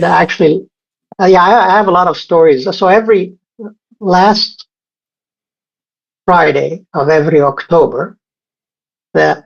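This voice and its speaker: A man in his sixties from Egypt speaks as an interview guest, his voice husky with a gravelly edge. He talks in a halting way, full of fillers and self-corrections. The recording sounds dull and lo-fi. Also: fairly high pitch. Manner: halting, many fillers and restarts. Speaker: guest scientist. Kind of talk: casual interview talk.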